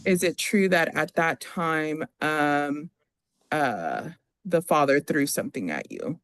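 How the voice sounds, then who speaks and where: An attorney speaking formally in a courtroom, reading out requests and questioning a witness in a halting, procedural way. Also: medium pitch, expressive, a bit bright, no echo.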